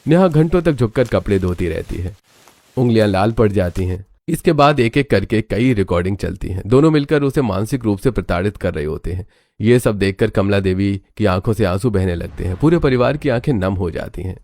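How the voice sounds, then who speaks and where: A man's voice: fairly low pitch, lively intonation, brisk, darkish tone, no room sound, studio quality. A man reading scripted, dramatic narration for a cartoon story.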